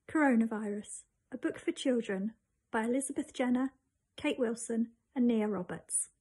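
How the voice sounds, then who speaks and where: Middle-aged English woman, notably high voice, primary school storytime read-aloud. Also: moderate pace, darkish tone. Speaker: primary school staff member reading to pupils. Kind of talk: children's book read aloud.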